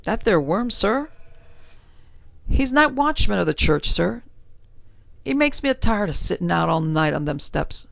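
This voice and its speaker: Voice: medium pitch, big pitch swings, unhurried, pauses often, fairly bright tone, no echo, voice pushed up, heavily acted. A reader voicing a young male Cockney bellboy who drops his H's and keeps saying sir, blunt and uneasy. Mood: gossiping. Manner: dropped H's, rough working-class speech, repeated sirs.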